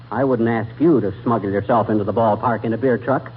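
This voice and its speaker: An actor playing a boastful, blustering, folksy husband in a 1940s radio comedy. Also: male voice, fairly low pitch, fairly even intonation, brisk, highs cut off, close to an everyday voice. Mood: blustering, evasive. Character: boastful, blustering husband who talks big. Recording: thin, slightly noisy vintage radio recording.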